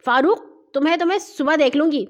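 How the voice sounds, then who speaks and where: A woman voicing a stern, fearless mother in a storybook, with a sharp, commanding manner. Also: high voice, voice pushed up, natural voice. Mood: threatening.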